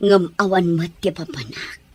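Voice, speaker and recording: Woman playing a low-voiced, regretful ailing mother. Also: normal speed, darkish tone, slightly rough. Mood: hurt, pleading. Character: ailing, rejected, regretful middle-aged mother. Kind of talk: Ilocano radio drama acting.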